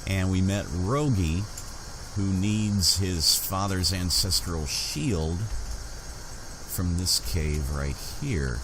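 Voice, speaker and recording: Deep, slow-talking man narrating a game. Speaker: gaming channel host. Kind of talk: roleplay game commentary. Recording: game ambience noise behind.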